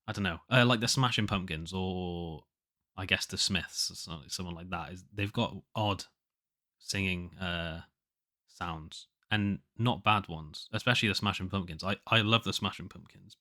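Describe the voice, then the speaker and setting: A man with a low but nasal voice, chatting casually on his own as if keeping an audio diary, rambling and hesitant as he thinks aloud. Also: pushed and bright. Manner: hesitant, rambling, self-questioning. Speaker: podcast host, musician.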